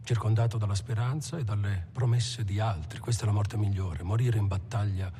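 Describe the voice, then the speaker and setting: An actor playing a fatalistic, philosophizing bank robber in a rhetorical film monologue. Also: male voice, on the low side, brisk, dark tone, a little hiss or hum, lightly acted. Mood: solemn. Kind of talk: dramatic monologue. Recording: music behind the voice.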